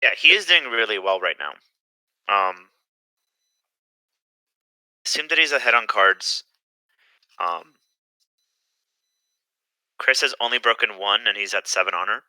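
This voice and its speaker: A young man giving casual live commentary on a card game tournament, hesitant and filling pauses with "um". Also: fairly low pitch, expressive, sharp tone, dry recording, low-quality recording. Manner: hesitant, lots of "um" fillers.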